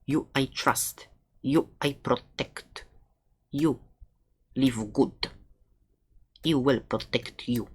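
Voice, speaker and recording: A performer voicing a furry animal shopkeeper in an ASMR roleplay, soft-spoken and halting, often slipping toward a whisper, doting with an edge of menace. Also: mid-range voice, a little hiss or hum. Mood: earnest, explaining.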